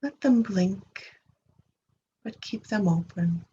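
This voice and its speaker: A woman leading a guided meditation in a gentle, unhurried manner, leaving long pauses and trailing off breathily at the ends of phrases. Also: medium pitch. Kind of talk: guided meditation, slow soothing instruction. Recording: dull lo-fi recording with clear background noise.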